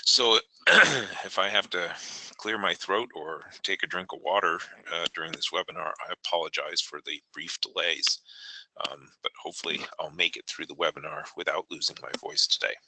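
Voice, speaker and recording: Hoarse man with a deep, dry voice, talking haltingly through a software tutorial. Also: dry recording, rough recording. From New England, United States. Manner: halting, many fillers, clears throat. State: hoarse, gravelly voice. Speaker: software trainer hosting a webinar. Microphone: online meeting audio.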